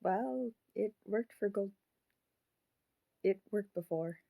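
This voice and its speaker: A woman voicing the grown-up ghost of a murdered child in a puppet, speaking haltingly and solemnly in an audio drama. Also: mid-range voice, creaky, warm tone. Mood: hesitant confession.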